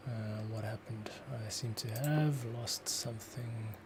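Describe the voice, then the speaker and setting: A man's voice: lowish voice, unhurried, noisy background. A man giving casual work-along commentary as a composer, muttering to himself in fragments. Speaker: composer, host. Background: music, computer keyboard clicks.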